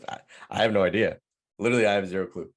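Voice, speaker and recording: A man's voice: on the low side, rapid-fire. A man answering interview questions in a plain, matter-of-fact way.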